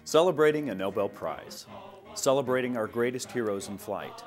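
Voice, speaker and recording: A man's voice: medium pitch, expressive, moderate pace, loud music behind the voice. A man presenting a scripted promotional sign-off as a newsreel presenter.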